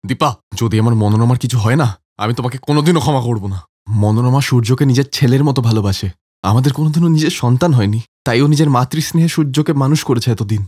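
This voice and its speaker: A man's voice: medium pitch, fast, a little mellow, no echo, studio quality, lightly acted. A man playing an earnest, protective married family man in a cartoon story, with a conversational manner. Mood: alarmed, reproachful.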